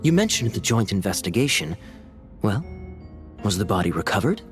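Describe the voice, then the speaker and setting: A man's voice: fairly low pitch, very animated, moderate pace. A man playing a shrewd young advocate for the accused in a game, probing and pointed. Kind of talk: scripted game cutscene acting. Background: background music.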